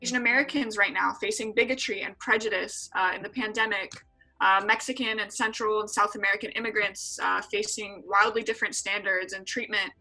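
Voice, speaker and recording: A woman's voice: mid-range voice, fairly even intonation, fairly quick, pushed and bright, faint music behind, low-quality recording. A woman in her twenties from San Diego, answering interview questions earnestly with frequent filler words. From San Diego, California. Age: 20s. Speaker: interview guest, EMT.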